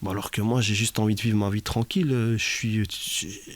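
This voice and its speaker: A man's voice: fairly low pitch, fairly even intonation, fairly quick. A man from Belgium speaking in a podcast conversation, hesitant and searching for words with many fillers. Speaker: guest sharing his experience.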